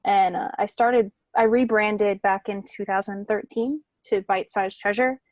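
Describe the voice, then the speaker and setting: A woman's voice: mid-range voice, fairly even intonation, unhurried, a little mellow, no room sound. A woman guest on a podcast, an artist, chatting informally and with some self-deprecation about herself. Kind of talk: casual interview chat.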